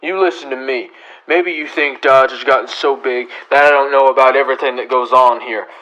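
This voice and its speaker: A man acting a stern, commanding western marshal in a radio drama, blunt and forceful, barking orders. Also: medium pitch, fairly even intonation, fairly quick, a little hiss or hum, lightly acted, low-quality recording. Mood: stern, probing. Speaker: actor in a student radio drama.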